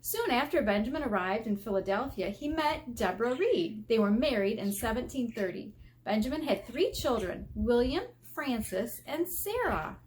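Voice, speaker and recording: An American woman reading a picture book aloud as an elementary teacher, expressive and teacherly, stressing key words and putting questions to her young listeners. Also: a little high, normal speed, a little hiss or hum. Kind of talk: children's read-aloud with explanatory asides.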